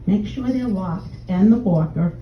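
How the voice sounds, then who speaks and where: A woman's voice: a little slow, slight background noise. Brash older Texan woman on stage. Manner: brash, bawdy, chatty sales pitch. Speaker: stand-up comedian in a sex-doctor persona. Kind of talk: stand-up routine, addressing audience members. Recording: roomy, distant, dull live room sound.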